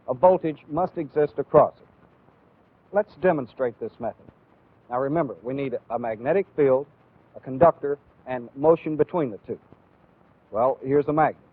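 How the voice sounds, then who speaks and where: An American man giving a methodical, deliberate instructional lecture as a training film instructor. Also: mid-range voice. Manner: deliberate, methodical, clearly explanatory. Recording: phone-like, band-limited old training film audio.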